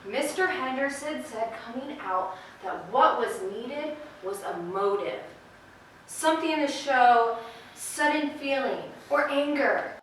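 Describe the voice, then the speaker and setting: Woman playing a timid, high-voiced sheriff's wife, very echoey. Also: expressive, slow, a bit bright, heavily acted. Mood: uneasy. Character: timid, dutiful sheriff's wife, anxious about rules. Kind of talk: live amateur stage play acting. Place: indoor theater stage, distant microphone. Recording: echoey stage from far off, faint noise.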